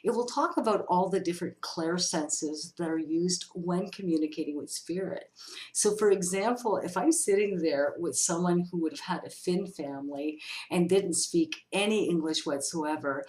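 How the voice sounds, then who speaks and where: A woman with a fairly low voice talks casually to her viewers as the host of a question-and-answer vlog. She is chatty and expressive, addressing the listener directly as she explains things. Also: moderate pace, rough recording. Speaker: vlog host, psychic medium.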